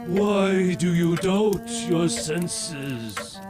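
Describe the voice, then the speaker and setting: A man plays a solemn, unsettling ghost of a dead business partner in an audio drama. The speech is extremely drawn out and deliberate, a little high in pitch, with music clearly playing behind it. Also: lively intonation, heavily acted. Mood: challenging.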